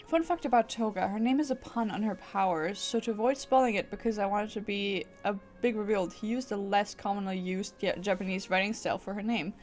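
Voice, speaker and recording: A woman's voice: mid-range voice, fairly quick, hardly any pauses, a little mellow, soft background music. A woman streamer giving casual, playful and reactive commentary as she plays through a game.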